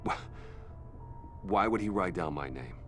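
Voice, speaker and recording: An actor playing a guarded, wealthy businessman in a video game, courteous and measured but defensive. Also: low voice, expressive, a bit bright, faint music behind, exaggerated voice. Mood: wary, defensive. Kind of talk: video game dialogue acting.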